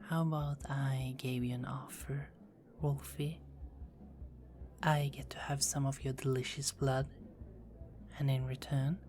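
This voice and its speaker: A seductive, dominant vampire woman, as a female ASMR artist plays her, whispers her teasing lines close and slowly. Her voice sits very low and dark, with a mellow, unhurried drawl. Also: slight background noise. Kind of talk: ASMR roleplay.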